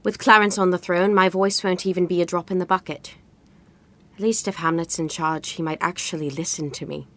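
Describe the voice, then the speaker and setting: A woman's voice: on the low side, fairly even intonation, brisk, close to an everyday voice. A woman playing a dry, guarded young fake fiancée in a modern romantic comedy audio drama. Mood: subdued, resigned.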